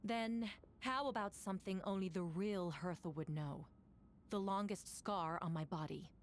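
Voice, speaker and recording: A woman's voice: medium pitch, moderate pace, a little hiss or hum, lightly acted. An actress playing a composed woman knight captain in a game, with a dry, cutting manner. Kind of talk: English game voice acting.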